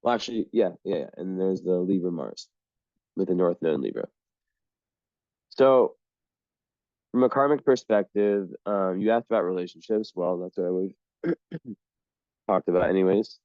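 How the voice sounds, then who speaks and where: A man working through an astrology chart reading on his own, halting and rambling as he goes, clearing his throat often, a little hoarse. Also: sits low, dry recording. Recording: dull lo-fi sound.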